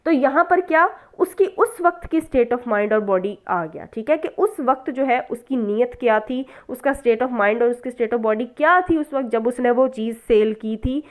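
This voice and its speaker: Woman, Pakistani accent, higher-pitched. Law tutor style: didactic, example-led, question-and-answer delivery with English terms mixed in. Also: animated, measured pace, few pauses. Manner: didactic, uses rhetorical questions and check-ins; mixes English legal terms into speech. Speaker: law lecturer. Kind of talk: explanatory law lesson with examples.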